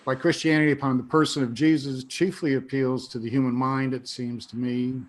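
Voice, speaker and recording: A hoarse man taking part in a webinar over a video call, his voice quiet and trailing off. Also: mid-range voice, flat delivery, brisk. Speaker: webinar participant. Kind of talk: casual discussion on a video call.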